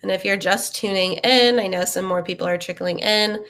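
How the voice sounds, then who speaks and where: A young woman with a bright, forward voice hosts a live webinar, upbeat and chatty. She sounds a little sniffly from a cold and fills her talk with ums and uhs. Her pitch sits in the middle range. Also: normal speed. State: sniffly, getting over a cold. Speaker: webinar host.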